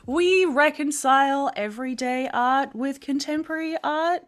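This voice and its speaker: A woman co-hosting a podcast, chatting casually with a lot of laughing and quick joking asides. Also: high-pitched. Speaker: podcast co-host. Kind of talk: casual chat.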